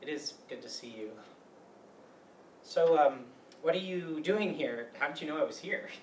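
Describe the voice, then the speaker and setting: A man's voice: a little high, animated, moderate pace, a little mellow, lightly acted. An actor playing a bitter, neurotic man with an old grudge in a staged play reading, wry and hesitant. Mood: dry, wry. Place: meeting room used for a staged reading. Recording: roomy, distant mic, faint noise.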